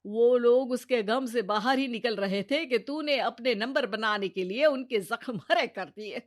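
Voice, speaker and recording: Lady doing a fed-up older saas in a cartoon, highish voice, blunt and scolding. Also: a little slow, lightly acted. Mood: scolding, exasperated. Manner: blunt, chiding. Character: exasperated, scolding older mother-in-law. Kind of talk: Hindi moral-story cartoon acting.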